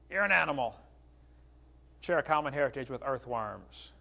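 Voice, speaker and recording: Casting: American male evangelist lecturer, middle-aged, high voice with a heavy creak, folksy and combative delivery. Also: a bit bright. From United States. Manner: folksy, polemical, addresses listeners as "folks". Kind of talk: religious lecture with read-out quotations.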